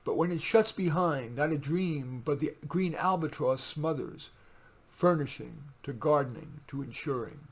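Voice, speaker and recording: A man with a husky voice reading his own poems in a deliberate, wry way, with informal asides. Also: on the high side.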